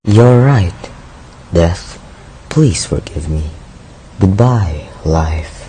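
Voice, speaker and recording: A man's voice: medium pitch, animated, slow pace, a little mellow, a little hiss or hum, rough recording. A man playing a heartbroken young poet in a radio drama, declaiming bitterly and in despair. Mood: resigned. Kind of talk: theatrical radio drama acting.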